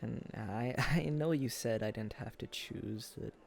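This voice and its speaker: Man acting as wistful former sweetheart, unhurried, slightly gravelly, self-deprecating, hesitant, intimate boyfriend audio roleplay. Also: medium pitch. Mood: regretful, tender. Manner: hesitant, halting, chuckles through confessions.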